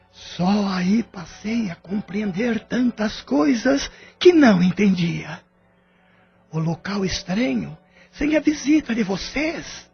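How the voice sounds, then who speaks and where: A man's voice: high-pitched, creaky, breathy edge, heavily acted. A man with a Brazilian accent voicing the emotional spirit of a loving old father, speaking tearfully in an audio drama. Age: older. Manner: slow, tearful, heartfelt delivery. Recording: slightly muffled, music behind.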